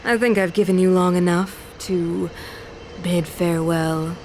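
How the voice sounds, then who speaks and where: A woman's voice: fairly low pitch, fairly even intonation, a little slow, darkish tone, a little hiss or hum. A woman playing an imperious, fanatical mother and ruler turned villain in a video game, speaking in grandiose, theatrical oratory. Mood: cold, solemn. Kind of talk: dramatic video game voice acting. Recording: orchestral game music behind voice.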